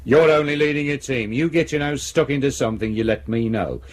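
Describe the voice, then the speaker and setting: A male actor plays a senior police detective who runs the case and lets everyone know it. He is brusque and commanding, with an ordinary mid-pitched male voice and a threatening edge, in radio drama style. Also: brisk, lightly acted. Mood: stern, threatening.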